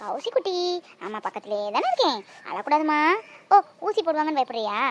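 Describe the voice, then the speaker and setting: A woman's voice: high voice, big pitch swings, brisk, lo-fi sound. A woman playing a young mother who fiercely guards her child. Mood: soothing. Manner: coaxing to her child, sharp when confronted. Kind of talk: Tamil drama acting.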